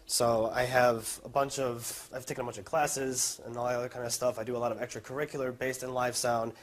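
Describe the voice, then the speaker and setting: A man's voice: medium pitch, level tone, brisk. A young American man, a college student, giving an informal presentation about his own work. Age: young adult.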